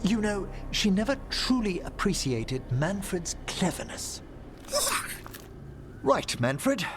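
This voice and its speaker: An actor playing a refined, scholarly older necromancer in a video game, eloquent and formal, courteous but anxious. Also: male voice, high voice, very animated, slow pace, heavily acted. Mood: eager, purposeful. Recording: music behind the voice.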